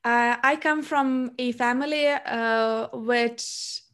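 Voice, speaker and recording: A young woman hosts a relaxed podcast interview. Her voice sits a touch high for a woman, and she keeps stopping for filler sounds as she thinks aloud. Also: takes it slowly, clean tone. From Lithuania. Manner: hesitant, many filler sounds. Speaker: podcast host. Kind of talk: casual interview conversation.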